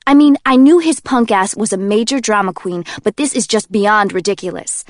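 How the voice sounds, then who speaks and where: A woman voicing a brash, joking young man who is easily indignant, talking loud and high-strung, full of exclamations. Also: moderate pace, no echo, clean studio sound, natural voice.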